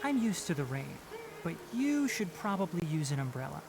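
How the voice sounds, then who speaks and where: An actor playing a no-nonsense young male fox-eared forest watcher in a video game, brisk, analytical and matter-of-fact. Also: medium pitch, very animated, rough recording. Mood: matter-of-fact, instructing. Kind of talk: video game character dialogue. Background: game music.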